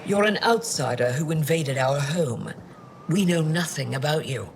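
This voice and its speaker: A man's voice: a little high, lively intonation, slight background noise. A man voicing a composed, guarded alien governor in a video game, speaking formally and curtly. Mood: stern. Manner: formal, measured, curt.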